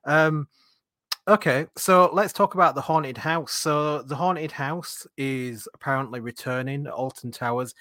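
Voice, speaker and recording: A man's voice: on the high side, a little slow, bright, forceful tone, dry recording. A man hosting a casual podcast in a chatty, jokey manner. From northern England. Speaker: podcast host.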